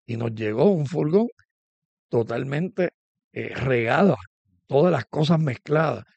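A man's voice: mid-range voice, lively intonation, measured pace, creaky, a little mellow, husky, no echo, muffled recording. A man hosting a conversational religious interview. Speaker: host.

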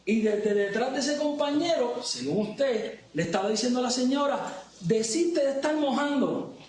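A man's voice: extremely high pitch, lively intonation, moderate pace, a little mellow. Male lawyer pressing a witness. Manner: pressing, leading questions. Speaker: defense lawyer cross-examining. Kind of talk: courtroom cross-examination. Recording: distant courtroom mic, echoey, crowd noise.

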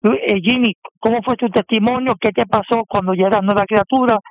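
A man hosting on the radio, introducing a guest in a brisk, announcing way. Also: high voice, fairly even intonation, dry recording. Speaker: radio host. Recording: dull muffled radio sound.

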